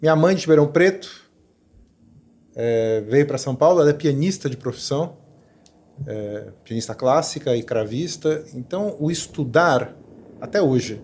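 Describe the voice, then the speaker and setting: Reflective man with a mellow voice. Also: fairly high pitch, expressive, normal speed. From Brazil. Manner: reflective, lots of fillers and restarts. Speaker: podcast guest, learning specialist. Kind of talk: conversational interview.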